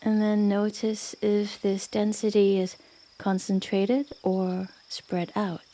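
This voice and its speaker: A woman guiding a yoga nidra meditation in a gentle, unhurried manner with long pauses. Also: mid-range voice, completely flat delivery. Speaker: meditation guide. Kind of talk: guided yoga nidra meditation, soothing narration.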